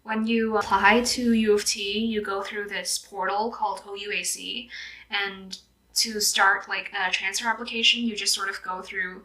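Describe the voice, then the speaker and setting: Woman, 20s, from Vietnam, bright voice, casual vlog chat, frequent laughs, filler words. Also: medium pitch, level tone, moderate pace, poor audio. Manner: chatty, laughs often, lots of "like" and "um". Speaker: YouTuber. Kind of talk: casual get-ready-with-me chat. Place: indoors at home in a city, doing makeup.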